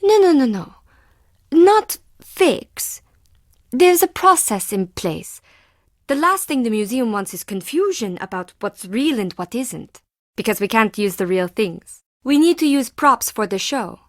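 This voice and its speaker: A woman's voice: fairly high pitch, sing-song, a little slow, dry recording, studio quality. A woman playing an intense, volatile artist in an adventure game, speaking in a dramatic, emphatic way. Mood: insistent. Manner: dramatic, emphatic, abrupt shifts.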